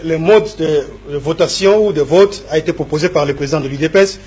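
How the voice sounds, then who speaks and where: A man's voice: a little high, brisk, darkish tone. A man interviewing a guest, cutting in with brief prompts and clarifying questions.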